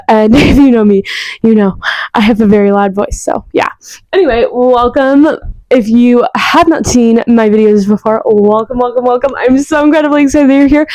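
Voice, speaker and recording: Young female book vlogger, effusive and chatty, mellow timbre, dry close sound. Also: a little high, dry recording, rough recording. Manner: gushing, effusive, repeats words for emphasis. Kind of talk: casual chatty book review. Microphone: new external microphone.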